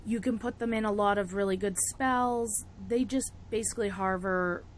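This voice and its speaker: Raspy young woman, chatty tutorial. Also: mid-range voice, fairly even intonation, moderate pace, slight background noise. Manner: chatty, laughs often, self-interrupting. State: raspy from a cold. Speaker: witchcraft vlogger. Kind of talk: casual tutorial chat.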